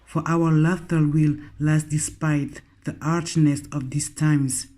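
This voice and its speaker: A man from Congo, an artist and poet speaking slowly and emotionally about his experience. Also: a little high, little pitch movement, mellow. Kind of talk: reflective personal account with poem reading.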